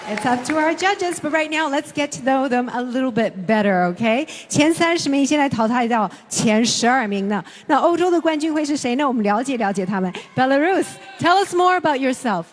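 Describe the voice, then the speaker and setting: A woman's voice: high-pitched, lively intonation, fairly quick, few pauses. A woman hosting a live stage pageant, emphatic and informal with contestants, switching between two languages. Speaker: pageant host. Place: large echoing hall, live stage event. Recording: long hall reverb.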